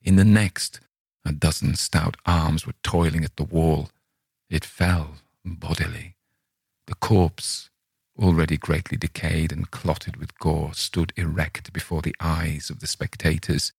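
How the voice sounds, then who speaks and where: Deep, grave condemned murderer read by a man. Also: level tone, creaky, darkish tone, slightly rough, no room sound, clean studio sound, exaggerated voice. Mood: grim horror. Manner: grave, deliberate, ornate. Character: condemned male murderer confessing a Gothic horror tale. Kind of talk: dramatic audiobook reading of Gothic horror.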